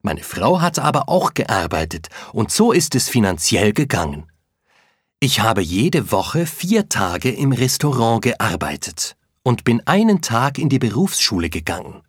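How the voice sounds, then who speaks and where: An actor playing an Ecuadorian cook in his late thirties, telling his story in a plain, measured way. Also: medium pitch, very animated, breathy edge, dry recording, studio quality. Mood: sincere. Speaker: interviewee in textbook listening exercise. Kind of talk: scripted first-person account.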